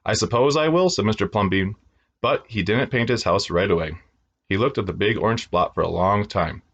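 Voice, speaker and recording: A man reading a children's storybook aloud in an expressive storytelling style for young listeners. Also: on the low side, normal speed, slightly rough, muffled recording.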